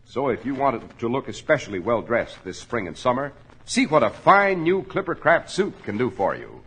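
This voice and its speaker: A man's voice: mid-range voice, big pitch swings, normal speed, a bit bright, breathy edge, rough recording. A man announcing and hosting in polished, emphatic 1940s radio style, reading scripted copy. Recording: old 1940s radio recording.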